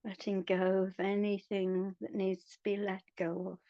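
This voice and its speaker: A woman guiding a meditation in a soothing, hushed manner, leaving long pauses between phrases. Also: medium pitch, monotone, dry recording, dull audio. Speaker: meditation guide. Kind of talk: guided meditation.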